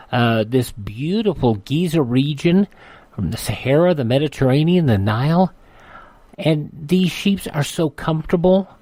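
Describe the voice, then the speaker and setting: Folksy radio host, dry studio sound. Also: male voice, on the high side, darkish tone, no room sound. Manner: folksy, chatty. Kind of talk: conversational sponsor reads and show announcements.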